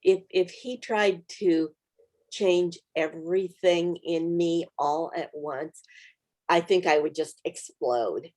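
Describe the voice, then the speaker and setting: A woman with a slightly low-pitched voice hosts a small-group Bible study. She speaks conversationally, in a reflective and encouraging manner, as if advising group members. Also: fairly even intonation, takes it slowly, a little mellow. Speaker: Bible study video host.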